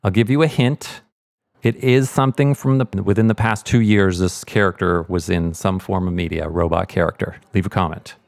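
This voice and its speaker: A middle-aged man with a lowish voice leads a casual audio tutorial. He speaks informally, thinking aloud through each step, in a very dry recording. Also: fairly even intonation, moderate pace, gravelly, a little mellow, dry recording. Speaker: sound mixer giving a tutorial.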